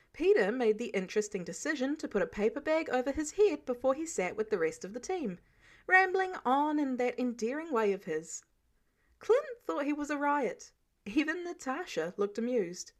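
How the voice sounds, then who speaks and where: A woman reading a story aloud as scripted audiobook narration. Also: on the high side. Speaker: amateur audiobook reader.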